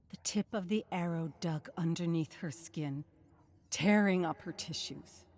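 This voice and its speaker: Slow, dramatic story narrator. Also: mid-range voice, darkish tone, slight background noise. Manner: grave, suspenseful, measured delivery.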